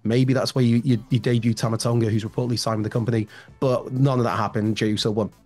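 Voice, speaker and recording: A man in his thirties hosting a solo wrestling news show, his voice slightly hoarse and raspy, chatty and rambling with fillers and asides, recorded at home on a studio mic. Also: mid-range voice, level tone, quick pace, darkish tone, faint music behind. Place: home room with shelves, indoors. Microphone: large studio mic in front, headphones.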